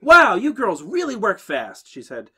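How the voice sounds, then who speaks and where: A young man hosting a podcast, reading a horror story aloud to friends and pitching up for the girls' lines. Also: wildly swooping pitch, unhurried, sharp tone.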